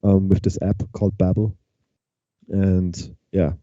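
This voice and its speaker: A man's voice: low voice, flat delivery, measured pace, warm tone, dry recording, lo-fi sound. A man from Austria whose first language is German, chatting casually in English as an interview guest with an Austrian German accent and lots of restarts. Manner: chatty, frequent restarts and fillers. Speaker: guest musician.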